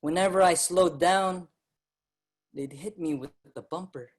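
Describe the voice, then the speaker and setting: A man's voice: sits high, unhurried. An actor, a man, playing an earnest, determined young Filipino migrant laborer who narrates a staged drama. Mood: reflective narration. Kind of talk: dramatic staged reading, first-person narration. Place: virtual live event, remote reading.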